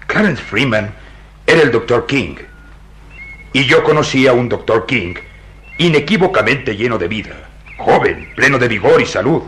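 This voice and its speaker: An actor playing a stout, baffled and excitable police inspector in a radio drama, theatrical, incredulous and emphatic. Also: male voice, fairly low pitch, slight background noise. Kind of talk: old radio drama acting.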